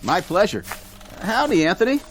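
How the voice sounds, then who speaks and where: A folksy small-town grocer in a radio drama. He is anxiously agreeable and hesitant, his pitch leaping about with forced cheer, over faint music. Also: sing-song, normal speed, a bit bright, exaggerated voice. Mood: forced friendliness. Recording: music under the voice.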